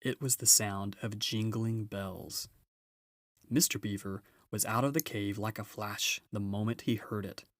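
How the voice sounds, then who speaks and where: Male storybook narrator, fairly deep, reading to children in a dry, echo-free space. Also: fairly even intonation, measured pace. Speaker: audiobook reader.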